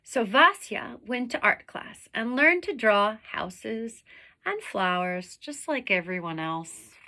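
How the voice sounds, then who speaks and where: A woman reads a storybook aloud in a bright, fairly high voice. She takes her time, phrasing deliberately and dramatically and putting weight on the descriptive words. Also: lively intonation. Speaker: teacher reading a picture book aloud. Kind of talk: expressive storybook read-aloud.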